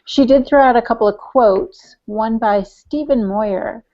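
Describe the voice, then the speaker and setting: A woman's voice: fairly high pitch, a little slow. A woman co-hosting a podcast, chatting casually as she relays listener comments. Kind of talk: casual chat. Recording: muffled lo-fi podcast audio.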